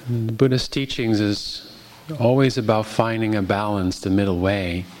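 A man guiding a meditation as a teacher, speaking gently and unhurriedly with long pauses. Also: fairly low pitch, steady tone, slight background noise. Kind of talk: guided meditation.

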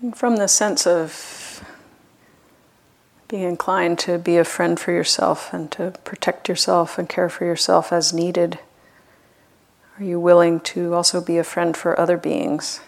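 Low, creaky-voiced woman guiding meditation. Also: level tone. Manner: gentle, unhurried, with long pauses. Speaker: meditation teacher. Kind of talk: guided loving-kindness meditation.

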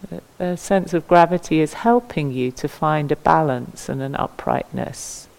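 A woman teaches meditation in a gentle, unhurried way. Her phrases come slowly with long pauses between them, and the voice often settles into a soft creak. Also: low-pitched, low-quality recording. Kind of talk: guided meditation.

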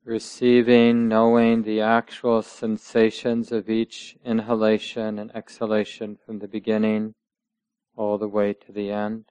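Slow, low-voiced man guiding meditation. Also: completely flat delivery, smooth, no room sound, poor audio. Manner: measured, gentle, with long pauses between short phrases. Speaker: meditation teacher. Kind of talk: guided meditation instruction.

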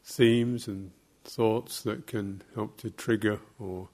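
Slow, creaky-voiced man guiding meditation. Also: on the low side, steady tone, darkish tone. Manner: measured, halting, with long pauses between short phrases. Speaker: meditation teacher. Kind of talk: guided meditation instruction.

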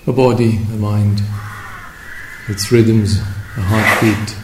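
A man leading a guided meditation as a teacher, speaking reflectively in short phrases with long pauses between them. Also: lowish voice, steady tone. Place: indoor room at a meditation retreat. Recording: roomy echo with faint background noise.

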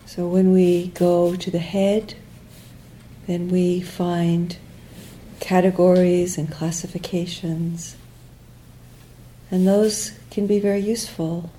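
A woman with a very dark, soft-edged voice leads a guided meditation. She speaks slowly, in short phrases separated by long pauses. Her pitch sits a little low, and her phrases often trail off gently. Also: little pitch movement, slight background noise, rough recording. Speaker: meditation teacher.

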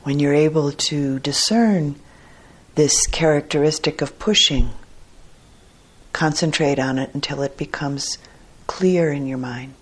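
A woman teacher guiding a meditation in a measured, deliberate way with long pauses and a husky, soft-edged voice. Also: deep voice. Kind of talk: guided meditation instruction.

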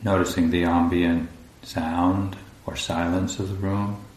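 Low, even-voiced man guiding meditation. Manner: calm, unhurried, long pauses between phrases. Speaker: meditation teacher. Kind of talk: guided meditation. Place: indoor room at a group retreat. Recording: roomy echo, faint low rumbling noise.

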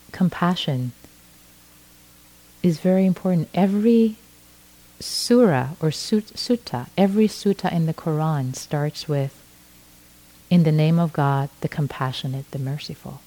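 A middle-aged woman from Iran, a native Farsi speaker, giving a gentle dharma talk as a meditation teacher, often restarting her phrases. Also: low-pitched, poor audio. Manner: slow, gentle, with frequent restarts.

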